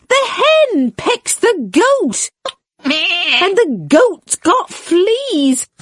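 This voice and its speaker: A woman voicing a flustered little old lady from a storybook, exclaiming and pleading plaintively in drawn-out phrases. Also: very high voice, no echo, pitched above the performer's own voice, exaggerated voice. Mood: distressed.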